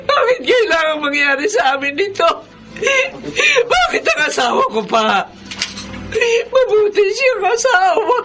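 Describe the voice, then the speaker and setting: A woman's voice: very high-pitched, very animated, measured pace, a bit bright, faint music behind, full character voice. A woman playing a grief-stricken, despairing young widow and mother in a Filipino radio drama, sobbing as she speaks with a Filipino accent. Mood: wailing grief. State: crying, sobbing.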